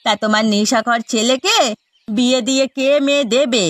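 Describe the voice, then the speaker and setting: A woman's voice: a little high, steady tone, moderate pace, fairly bright tone, dry recording, natural voice. A woman voicing a nagging middle-aged village wife in a cartoon, sarcastic and scolding. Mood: mocking. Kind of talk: cartoon dialogue.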